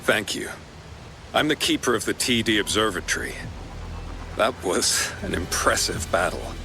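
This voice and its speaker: A man's voice: on the low side, expressive, moderate pace, vocal fry, noisy background. A man voicing a composed, courteous observatory keeper in a video game, speaking politely and formally. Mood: composed courtesy. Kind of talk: scripted video game dialogue.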